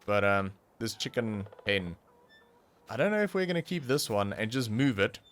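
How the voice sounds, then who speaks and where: A man's voice: on the low side, big pitch swings, normal speed, fairly bright tone. A young man, not a native English speaker, commenting casually on his own gameplay, thinking aloud with fillers and restarts. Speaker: gaming channel host.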